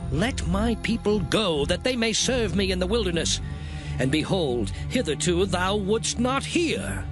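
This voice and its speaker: A solemn ancient prophet in a scripture audio drama, proclaiming with weight and emphasis. His voice sits high and rises and falls in a lively way, with soft music behind. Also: unhurried. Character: prophet Moses, solemn adult man messenger. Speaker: Moses in a dramatized Bible reading.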